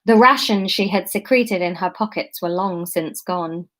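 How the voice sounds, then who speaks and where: A woman reading her serialized story aloud, chatty and casual, often pausing to comment on it and correct herself. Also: lowish voice, fairly bright tone.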